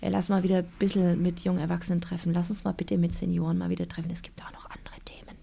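A woman's voice: lowish voice, flat delivery, racing, gravelly, dark tone, husky. A woman, an author being interviewed, talking casually and reflectively, with many fillers and restarts.

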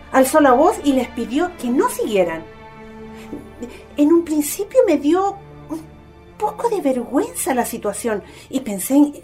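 A woman playing a kindly, gently intrigued mother in a radio drama. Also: high voice.

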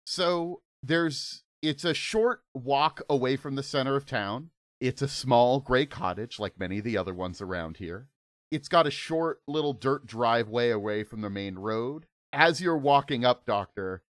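A hoarse man narrating a tabletop horror game as game master, in a relaxed, conversational way. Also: mid-range voice, no room sound. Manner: relaxed, conversational, filler-heavy.

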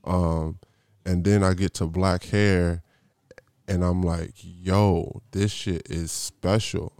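Man podcast host, rambling slangy storyteller, deep voice, flat delivery, dry close sound. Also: takes it slowly, clean tone, dry recording. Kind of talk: casual interview intro.

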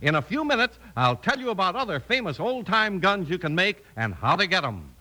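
A man's voice: sits high, sing-song, brisk, pushed and bright. An American man announcing and narrating in an emphatic, dramatic 1950s radio style. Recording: old-time radio recording.

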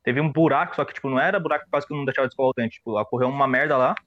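A man's voice: medium pitch, rapid-fire, dull audio. A young man from São Paulo with a Paulistano accent, chatting casually and joking with slangy, rambling talk on a livestream podcast. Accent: Paulistano Brazilian Portuguese. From São Paulo, Brazil. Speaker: podcast co-host.

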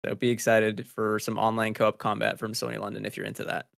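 A man's voice: lowish voice, completely flat delivery, fast, creaky, a bit bright, no room sound, clean studio sound. A man hosting a gaming news podcast, chatting through stories in an informal, slangy, conversational way. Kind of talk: casual news chat among co-hosts.

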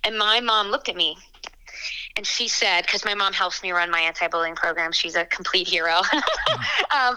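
Bright, smooth-voiced young woman singer. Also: medium pitch, rough recording. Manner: chatty, frank, quick asides with frequent fillers. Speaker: singer-songwriter guest. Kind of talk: casual interview answers.

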